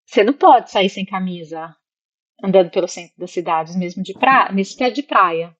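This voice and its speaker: A woman's voice: mid-range voice, lively intonation, moderate pace, lo-fi sound. A Brazilian woman speaking casually and reflectively as an interview guest, expressively slipping into imagined remarks and checking that listeners follow. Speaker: interview guest, psychologist living in Italy.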